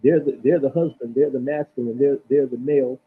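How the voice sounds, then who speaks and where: A man speaking as a guest in a casual discussion, earnest and halting, restarting his sentences. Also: medium pitch, steady tone, slightly rough. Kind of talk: casual chat. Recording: tinny phone-like line with faint hiss.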